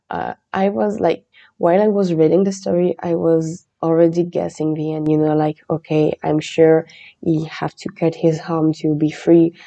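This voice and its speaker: A woman hosting a podcast, chatting in a relaxed, meandering way and reading a legend aloud. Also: low voice, gravelly. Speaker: podcast host.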